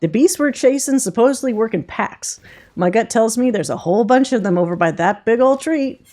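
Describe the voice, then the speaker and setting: A woman's voice: fairly high pitch, animated, a little fast, warm tone, voice pushed up, lightly acted. A woman playing a folksy, confident monster-hunter mentor. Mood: instructive. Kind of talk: reading game dialogue in character.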